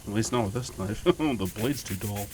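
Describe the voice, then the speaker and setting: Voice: mid-range voice, normal speed, darkish tone, slight background noise, close to an everyday voice. An actor in a fiction podcast playing a taunting, playfully sinister best friend, teasing and mock-wounded in a theatrical way. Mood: playfully menacing.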